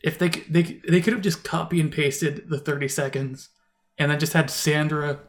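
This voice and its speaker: A man's voice: a little high, fairly even intonation, normal speed. A man co-hosting a casual album review podcast, talking in a rambling way with many restarts and fillers. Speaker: podcast co-host.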